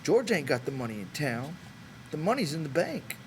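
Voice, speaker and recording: Male reader as wistful old farm laborer, American, folksy, high lively voice, storybook drama, noisy recording. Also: moderate pace. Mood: earnest, dreamy. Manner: earnest, folksy rural talk. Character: old one-handed ranch swamper, wistful and stubborn. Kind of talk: dramatic audiobook character voice.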